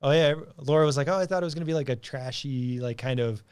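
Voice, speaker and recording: A man's voice: medium pitch, quick pace, a little mellow, clean tone, no echo. A man chatting casually as a podcast co-host, telling anecdotes in a loose, chuckling, sweary way. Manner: chuckles often, profane. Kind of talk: casual banter and storytelling.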